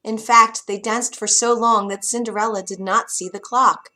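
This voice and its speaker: Bright-voiced woman reading a children's story. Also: fairly high pitch, moderate pace, faint music behind. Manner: expressive, dramatic reading. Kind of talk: children's bedtime story narration.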